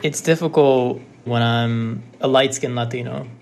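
Gravelly-voiced man, quick and emphatic. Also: medium pitch, steady tone, a little mellow, faint music behind. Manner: quick and emphatic, acts out quoted dialogue. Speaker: listener guest. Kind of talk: casual storytelling.

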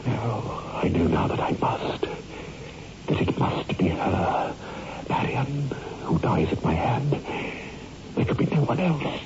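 An actor playing a tormented, brooding surgeon with agitated, melodramatic delivery in a 1960s radio horror drama. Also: male voice, on the low side, sing-song, slow pace. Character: tormented male surgeon obsessed with death at his hands. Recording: muffled, noisy old radio recording.

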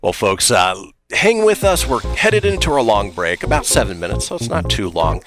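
A man's voice: fairly low pitch, big pitch swings, moderate pace. A man hosting a radio talk show, wry and laid-back, reading listener posts and steering the segments.

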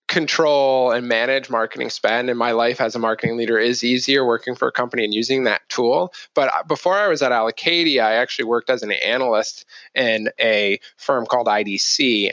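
A man's voice: medium pitch, fairly bright tone, no echo. Man in a casual interview, muffled audio. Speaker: podcast guest, marketing executive.

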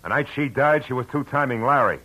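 An actor playing a tough, world-weary private detective in a 1940s radio drama, talking in clipped, hard lines. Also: male voice, medium pitch, fairly quick, bright, forceful tone, breathy edge, poor audio. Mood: forceful. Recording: old radio broadcast with faint hiss.

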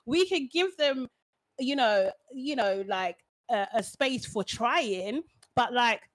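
A woman's voice: a little high, takes it slowly, no room sound, poor audio. A woman co-hosting a casual group podcast discussion. She talks expressively and keeps checking in with her listeners. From Britain. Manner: expressive, laughing asides, frequent "do you know what I mean". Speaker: podcast co-host.

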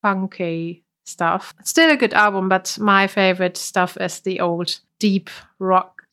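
A woman's voice: medium pitch, a little slow, pushed and bright. A woman co-hosting a podcast, sniffly with a cold, chatting casually and laughing often. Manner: chatty, laughs often, trails off mid-sentence. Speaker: podcast co-host. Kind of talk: casual chat.

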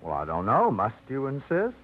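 A man's voice: medium pitch, huge pitch swings, normal speed, breathy edge. An actor playing a fussy, set-in-his-ways middle-aged bachelor who rambles with old-fashioned phrases, in a 1950s radio drama. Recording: muffled old radio broadcast.

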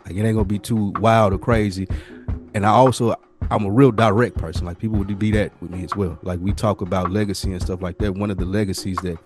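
A man with a lowish voice talks quickly over clearly audible music. He is casual and slangy, rambling through stories in a direct, conversational podcast style. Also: fairly even intonation. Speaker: podcast co-host. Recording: music playing behind the voice.

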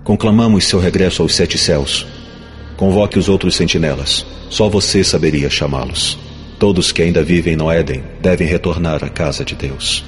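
A man's voice: deep voice, normal speed, long reverb tail, faint music behind, natural voice. A man voicing a stern, cold archangel with formal, imperious delivery in an audiobook drama. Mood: harsh, commanding. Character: stern, cold, commanding male archangel in gold armor.

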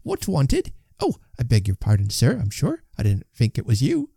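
A man's voice: medium pitch, huge pitch swings, moderate pace, gravelly, dark tone, breathy edge, no echo, clean studio sound, full character voice. A man voicing an indignant, kind-hearted old shopkeeper's wife with emphatic delivery in an audiobook. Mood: apologetic, flustered. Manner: emphatic, scolding, repetitive. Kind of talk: audiobook character voice.

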